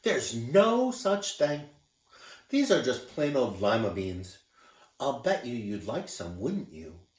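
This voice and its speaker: A man voicing a kind, gentle old woman in a storybook read-aloud, speaking softly and sometimes in a whisper. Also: mid-range voice, big pitch swings, slow pace, roomy sound.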